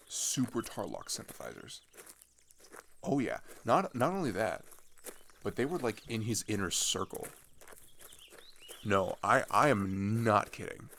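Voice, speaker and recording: A man's voice: on the low side, gravelly, a bit bright, a little hiss or hum, full character voice. A man playing an earnest, disillusioned teenage traveler in an ASMR roleplay, talking hesitantly and conversationally, often trailing off. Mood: animated, incredulous. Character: chatty, earnest, disillusioned male teenager on a journey. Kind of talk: ASMR roleplay, naturalistic improvised-sounding monologue.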